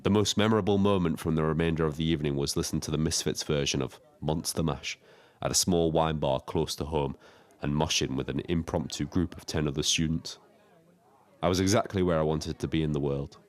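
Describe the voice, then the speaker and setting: An actor playing a lonely, reflective taxi driver in an audio drama, telling his story in a measured, literary way. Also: extremely low pitch, steady tone. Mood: wry, wistful. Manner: wordy, literary, measured first-person storytelling. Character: Frank, lonely reflective male Brighton taxi driver and diarist. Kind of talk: scripted dramatic diary narration.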